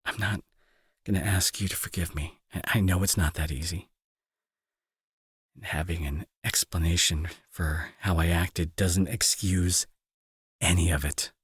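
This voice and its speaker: A man playing a remorseful, protective bully with a grieving streak, in an intimate dramatic audio roleplay with halting, earnest delivery and a husky, breathy voice. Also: low-pitched, fairly even intonation, a bit bright, no echo, studio quality, heavily acted. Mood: remorseful, resolute. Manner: halting, emotional, earnest pleading. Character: angry male bully turned remorseful, protective, grieving brother.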